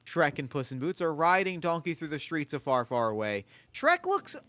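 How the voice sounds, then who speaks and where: A man narrating stage directions at a live table read in a brisk, plain delivery. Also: a little high, big pitch swings, sharp tone, no room sound.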